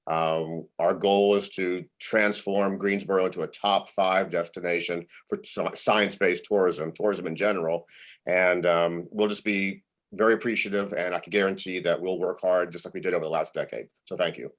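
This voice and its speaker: A man's voice: deep voice, fairly even intonation, normal speed, a bit bright. A man recovering from illness whose voice is partly gone, speaking earnestly on a video call and repeating words. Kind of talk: casual remarks on a video call.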